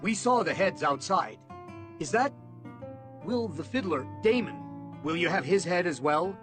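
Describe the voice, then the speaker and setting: A man's voice: sits high, lively intonation, a little slow. Man acting a young storybook knight, humble, awkward, hesitant, plain-spoken, music behind. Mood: anxious.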